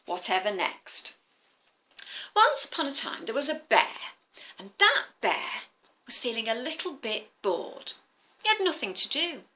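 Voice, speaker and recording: A woman storyteller with a bright, fairly high voice. She speaks to small children in a very lively way, drawing them in with questions and simple explanations. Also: unhurried, long pauses. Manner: animated, addressing listeners with questions and simple explanations. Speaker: children's storyteller, former teacher. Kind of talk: interactive storytelling for young children.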